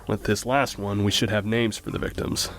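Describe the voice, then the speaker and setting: A man playing a dutiful, deferential junior police officer, reporting in a measured way in a horror audio drama. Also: on the low side, steady tone, fairly bright tone, lightly acted. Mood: matter-of-fact.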